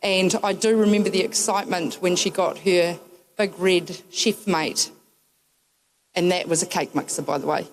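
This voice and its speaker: A woman's voice: fairly low pitch, steady tone, moderate pace, low-quality recording. A woman with a New Zealand accent giving a memorial tribute, telling wry, humorous anecdotes with frequent ums. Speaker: eulogy speaker.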